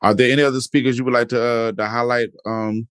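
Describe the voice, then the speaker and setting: A man's voice: medium pitch, level tone, fast, a bit bright, smooth, no echo, studio quality. A man hosting a podcast interview, talking in a laid-back, casual way with "man" and "you know.". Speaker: podcast host.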